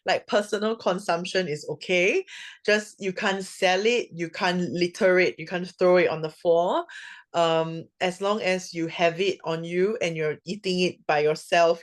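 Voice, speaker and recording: A woman in her thirties from Singapore with a Singaporean English accent, talking casually and filling her speech with "like" and "you know" at an info session. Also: lowish voice. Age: 30s. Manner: chatty, many fillers like "like" and "you know". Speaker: guest speaker.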